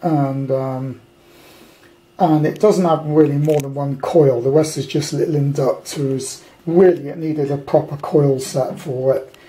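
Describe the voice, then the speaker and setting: An older British man with a fairly high-set, soft-edged voice. He talks off the cuff in a casual hands-on demonstration, wandering from point to point with blunt grumbles. The tone stays dark and relaxed. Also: unhurried. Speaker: amateur radio hobbyist presenting a kit. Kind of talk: casual unscripted show-and-tell. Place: indoors at a tabletop at home.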